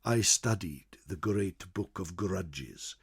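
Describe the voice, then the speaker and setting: A man voicing a venerable old scholar in solemn, theatrical fantasy narration, with a husky voice that swells and drops away. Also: low voice, expressive, takes it slowly, exaggerated voice. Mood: solemn, proud. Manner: theatrical, solemn, swelling then dropping to whispers. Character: venerable old male scholar chronicler, grave, fearful, awed by ancient lore. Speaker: storyteller. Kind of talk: dramatic in-character fantasy narration.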